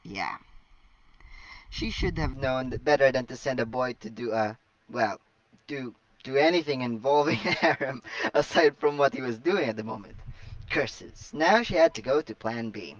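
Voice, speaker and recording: A man's voice: medium pitch, expressive, normal speed, no room sound, far from the mic, highs cut off. A man hosting a casual story read-aloud, chatty and joking, with rambling asides. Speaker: host of a fan fiction reading channel.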